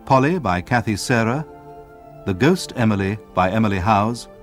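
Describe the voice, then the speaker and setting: Low British male announcer over music. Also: expressive, moderate pace. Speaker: radio continuity announcer. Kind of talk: scripted credits announcement.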